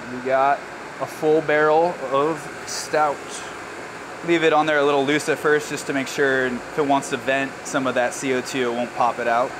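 A man in his thirties working as a brewer, explaining barrel filling in a relaxed, casual way on a brewery floor. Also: a little high. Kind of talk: hands-on explanation. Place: large brewery floor with steel tanks, indoors. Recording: clear machinery and air-con noise.

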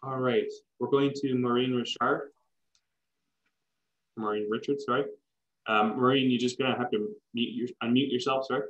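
A man's voice: medium pitch, flat delivery, brisk. A young man explaining informally as a planner and moderator on an online video call. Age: young adult. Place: room at home, on a video call. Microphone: computer microphone on video call. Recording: dull lo-fi video call audio.

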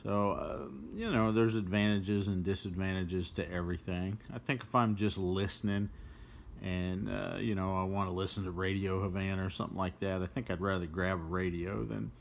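Man, low gravelly voice, casual hobbyist demo, some background noise. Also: fairly even intonation, moderate pace. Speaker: shortwave radio hobbyist presenting a demonstration. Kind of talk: casual unscripted demonstration.

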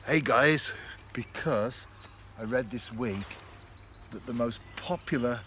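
A man, talking to camera in a relaxed, reflective way while fishing on a canal bank. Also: mid-range voice. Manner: chatty, reflective, speaks straight to camera. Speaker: fishing vlogger. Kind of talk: relaxed outdoor vlog narration. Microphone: camera close in front. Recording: faint outdoor noise. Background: birds and countryside ambience.

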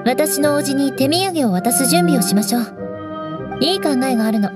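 Prickly young noble knight girl, high voice, haughty and composed, anime game with music behind. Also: lively intonation, moderate pace. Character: proud, prickly young noblewoman knight, vengeful but teasing. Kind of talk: Japanese anime game voice acting.

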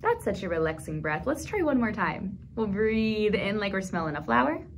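A woman in her thirties leading a toddler storytime, speaking gently in simple phrases for small children as she reads aloud and comments. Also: mid-range voice, sing-song, moderate pace, slight background noise. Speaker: children's librarian, storytime host. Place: small indoor room at a library.

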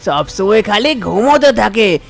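A woman voicing an older father in a cartoon, scolding his lazy sons slowly and loudly. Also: medium pitch, sing-song, gravelly, faint music behind, exaggerated voice. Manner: slow, scolding.

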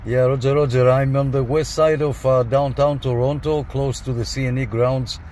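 A man operates a CB radio from a parked car, talking informally and repeating his radio jargon. His pitch is average, and faint vehicle noise sits behind him. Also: steady tone, moderate pace, darkish tone. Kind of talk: informal radio contact chat. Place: inside a parked car in a parking lot. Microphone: camera beside the dashboard radio.

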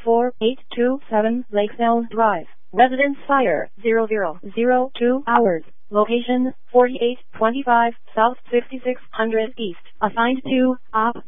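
A woman's voice: fairly high pitch, fairly even intonation, unhurried, hardly any pauses, dry recording. A woman dispatcher reading out units and locations in a clipped, formulaic way over two-way radio. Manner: clipped, formulaic, itemized delivery. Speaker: emergency dispatcher. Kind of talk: procedural radio dispatch announcement. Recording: narrow phone-like scanner radio audio.

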